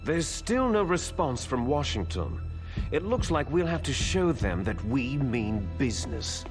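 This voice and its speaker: An actor playing an arrogant, cunning terrorist boss in a video game cutscene, commanding, theatrical and contemptuous in manner. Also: male voice, mid-range voice, very animated, a little slow, over music. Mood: cold, commanding.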